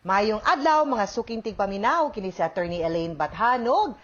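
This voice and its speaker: A woman's voice: medium pitch, expressive, normal speed. A woman with a Cebuano Filipino accent hosting a radio advice programme as a lawyer, conversational, mixing in English phrases. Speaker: host, lawyer giving legal advice. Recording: dull band-limited audio, faint noise.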